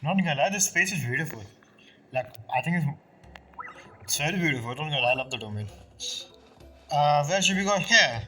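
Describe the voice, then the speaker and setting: Bright-voiced woman musing over gameplay. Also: sits low, lively intonation, brisk, long pauses, faint music behind, low-quality recording. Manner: musing, non-native English phrasing. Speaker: game streamer. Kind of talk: casual gameplay commentary.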